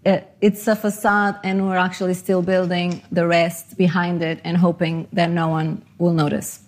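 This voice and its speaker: A woman's voice: on the low side, flat delivery, normal speed. A middle-aged woman from Portugal and Panama giving a conversational, self-deprecating conference talk. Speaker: conference speaker.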